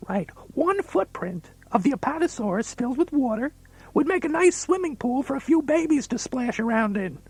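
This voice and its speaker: A man voicing an eager, childlike puppet sidekick with a high, gravelly, raspy voice on a children's comedy recording. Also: big pitch swings, moderate pace, darkish tone, dry recording, heavily acted, low-quality recording. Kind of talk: children's comedy cassette banter.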